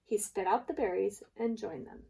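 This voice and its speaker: A woman's voice: mid-range voice, normal speed, vocal fry, mellow. A woman preschool teacher reading a storybook aloud for young children, explaining things expressively as she goes.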